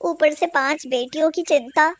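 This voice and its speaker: A high, close and dry voice for a cartoon story's struggling mother acted by a woman. She is poor, worn by hardship yet proud, and speaks with earnest, dramatic feeling. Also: moderate pace, no room sound, natural voice. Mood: sorrowful, resigned. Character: poor, hardworking mother of five daughters, weary yet proud.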